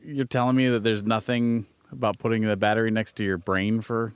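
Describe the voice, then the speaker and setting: A man's voice: on the low side, level tone, a little fast, clean tone, no room sound. A man appearing as a guest on a podcast, chatting casually and easygoing. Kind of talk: casual chat.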